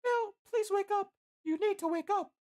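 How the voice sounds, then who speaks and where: A narrator voicing a distraught young heroine in an adventure story, pleading urgently in a shrill, drawn-out way. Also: darkish tone. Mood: desperate pleading.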